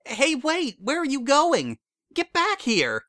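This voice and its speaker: A man's voice: sits high, huge pitch swings, a little slow, fairly bright tone, no room sound, clean studio sound, pitched above the performer's own voice, full character voice. A man doing a worried, caring pony mare. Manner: anxious, pleading, calls others sweetie. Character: kind, caring female pony gardener.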